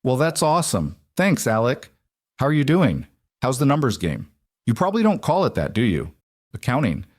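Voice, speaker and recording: A man's voice: medium pitch, very animated, moderate pace, darkish tone, no room sound, studio quality, pitched above the performer's own voice. A man voicing a goofy, chatty male office neighbor who rambles and cracks jokes. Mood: jokey.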